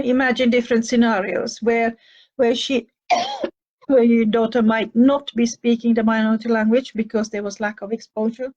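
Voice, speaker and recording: A woman's voice: fairly high pitch, a little mellow, muffled recording. An older woman from with a slight cough, hosting a show and answering listener questions in a thoughtful, hesitant way with many fillers. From Finland. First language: Finnish and Swedish. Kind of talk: advice talk answering questions.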